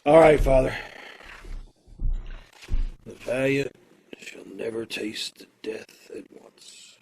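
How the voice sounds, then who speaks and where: Radio play condemned prisoner, male actor: slow, hesitant, gentle, quietly courageous, middle register. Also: mid-range voice, vocal fry, husky, exaggerated voice. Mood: solemn, resolute. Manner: hesitant, halting delivery. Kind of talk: old-time radio play acting. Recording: home video-call recording with music.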